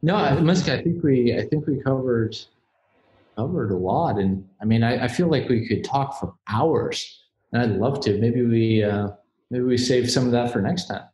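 A man chatting as a podcast guest over a video call, relaxed and agreeable. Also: medium pitch, moderate pace. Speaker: film producer, guest. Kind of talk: casual chat. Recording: roomy echo.

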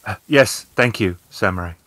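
A man's voice: lowish voice, normal speed, fairly bright tone, dry recording. A man voicing a shaken, polite young townswoman in a role-playing game, hesitant and formal.

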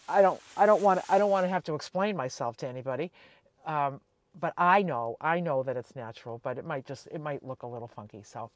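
A woman's voice: a bass voice, no echo. Chatty older woman vlogger, dry close sound. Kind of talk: casual chat to camera. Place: inside a small camper van.

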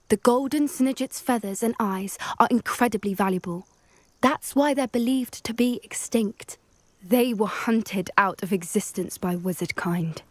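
A woman's voice: mid-range voice, no echo, natural voice. A young woman with a British accent playing an earnest, articulate teenage witch who protects magical creatures in a video game. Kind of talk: video game cutscene acting. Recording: music underneath. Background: music.

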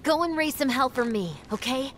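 High-voiced, spirited young rebel. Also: female voice, moderate pace, a bit bright, slight background noise. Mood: encouraging. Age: young adult. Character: spirited, teasing young female rebel fighter. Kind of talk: cinematic video game acting. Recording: game music and effects behind.

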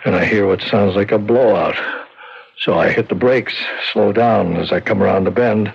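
A wounded working-class husband in an old radio play. His deep voice is husky and full of gravel, weakened and halting, with folksy, evasive phrasing. The broadcast sounds muffled. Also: exaggerated voice. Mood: folksy recounting. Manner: halting, colloquial speech. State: weak, recovering from injury. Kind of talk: 1970s radio drama acting.

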